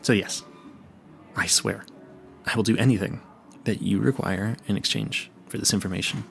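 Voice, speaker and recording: Man playing a bumbling vampire hunter, lowish creaky voice, earnest, stammering, easily flustered, polite, intimate audio roleplay. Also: steady tone, moderate pace, faint music behind. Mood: pleading. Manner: stammering, rambling, pleading, politely formal.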